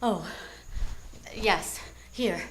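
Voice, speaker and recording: A woman's voice: medium pitch, drawn-out words. A woman playing a proud, secretive and anxious client in a 1940s-style radio detective drama, earnest and melodramatic. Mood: shaken. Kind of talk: 1940s radio noir acting. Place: live radio drama on a theatre stage.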